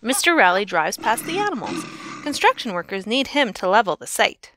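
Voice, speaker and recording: A woman reading a children's picture book aloud in an expressive, animated storyteller's way with emphatic phrasing. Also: medium pitch, fairly quick, noisy background. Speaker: read-aloud narrator.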